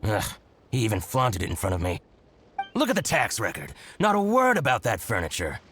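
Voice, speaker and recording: An actor playing a scheming, spiteful shopkeeper in a video game, with exaggerated, exclamatory, gloating delivery. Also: male voice, fairly low pitch, wildly swooping pitch, fairly quick, a bit bright, slightly rough, heavily acted. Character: scheming, theatrical male shopkeeper, gloating and spiteful toward rival.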